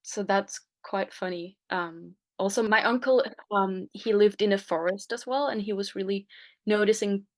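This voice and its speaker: A young woman with a Danish accent, talking casually as a guest in an interview. She often pauses on fillers and restarts her sentences as she thinks aloud. Her voice sits in a middle pitch. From Denmark. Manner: hesitant, many fillers and restarts. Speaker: guest artist. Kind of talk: casual conversation.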